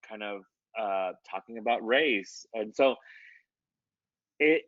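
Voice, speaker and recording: A man with a low voice gives loose, conversational interview answers full of fillers and restarts. The sound is muffled and dry, with no room around it. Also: takes it slowly, no room sound. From United States. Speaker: artist.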